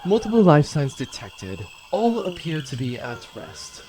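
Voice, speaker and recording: A man voices a formal ship's computer in a very echoey space. It announces exact, literal reports with a strongly swinging pitch, as in comic sci-fi audio drama. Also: huge pitch swings, measured pace, mellow. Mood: formal, dutiful. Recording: strongly echoing, roomy sound.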